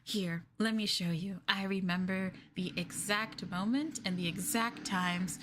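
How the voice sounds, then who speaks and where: A woman's voice: mid-range voice, measured pace, fairly bright tone, a little hiss or hum, close to an everyday voice. A woman playing a clingy, sweetly possessive young girlfriend in an ASMR roleplay, with a coy, hesitant, coaxing delivery. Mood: sweetly obsessive. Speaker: streamer performing ASMR roleplay.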